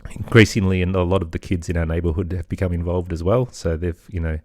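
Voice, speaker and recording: A middle-aged man talking casually and reflectively as a podcast guest, thoughtful and tentative, often softening what he says. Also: low voice, steady tone, quick pace, dry recording, studio quality. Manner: hesitant, thoughtful, hedging with softeners. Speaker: podcast guest, children's audio storyteller. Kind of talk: casual reflective interview talk.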